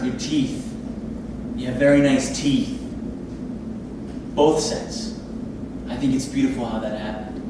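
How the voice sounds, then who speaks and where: A man's voice: medium pitch, fairly even intonation, measured pace, long pauses, close to an everyday voice. A man playing a needy, overbearing surgeon boss in a staged play reading, pushy and wheedling. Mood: creepy, confiding. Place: theatre space, recorded from audience distance. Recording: echoey room, far mic, noisy.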